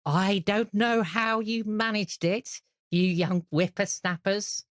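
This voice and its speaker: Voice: medium pitch, fairly even intonation, slow, dry recording, studio quality, voice pushed up, lightly acted. A game master voicing a sly, outwardly sweet old lady with coaxing, grandmotherly endearments. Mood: gleeful, impressed. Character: sly, conniving, sweet-seeming old lady crime matriarch.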